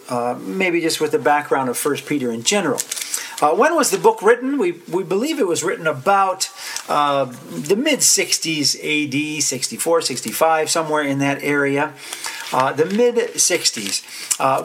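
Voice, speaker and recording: A man teaches a Bible lesson at a measured pace, filling his pauses with "uh" and going back over each verse reference. His voice sits a little high for a man, and he clears his throat now and then. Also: a bit bright. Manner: deliberate, with many "uh" fillers and repeated verse references. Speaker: preacher. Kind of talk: Bible study lecture.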